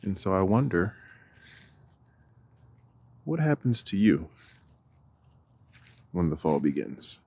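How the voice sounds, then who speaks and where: A man leading a guided walking meditation in a deliberate, measured manner, with long pauses and a husky voice. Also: deep voice, very animated, darkish tone. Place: outdoors on a walk.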